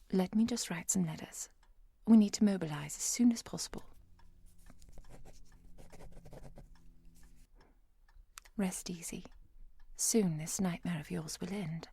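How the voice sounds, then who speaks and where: Lady playing a calm vampire hunter in asmr roleplay, lowish breathy whispery voice, bit of fry, gentle n protective. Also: dry recording, heavily acted. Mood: calm reassurance. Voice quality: breathy, soft, often whispered. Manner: gentle, measured, reassuring, formal phrasing. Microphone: close microphone, dry.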